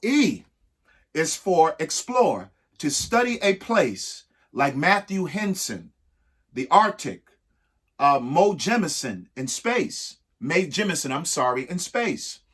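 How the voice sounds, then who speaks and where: A husky-voiced man reading a rhyming picture book aloud expressively, emphatic, with pauses between short phrases. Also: fairly high pitch, sing-song, a bit bright. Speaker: reader of a children's picture book. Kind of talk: expressive read-aloud of a rhyming alphabet book with spoken asides.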